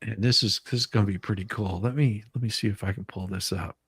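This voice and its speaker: A man's voice: fairly low pitch, fairly even intonation, quick pace, fairly bright tone, slightly rough, no echo, studio quality. A man hosting a casual livestream, chatting in an informal, rambling way. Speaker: livestream host.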